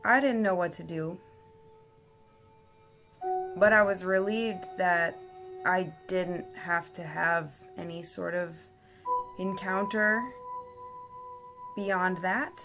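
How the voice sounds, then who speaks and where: A woman's voice: lowish voice, fairly even intonation, slow, pauses often. A woman host telling a personal story in a theatrical, playful way, with informal, chatty asides. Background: music.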